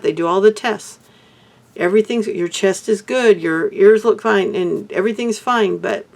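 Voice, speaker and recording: An older woman from Texas, hoarse, chatting casually to camera in a rambling way about her crafts and life. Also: mid-range voice. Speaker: beadwork vlogger.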